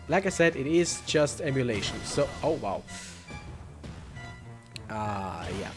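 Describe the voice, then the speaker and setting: Let's play host, male: lightish high pitch, casual thinking-aloud commentary, soft game music underneath. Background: faint video game music.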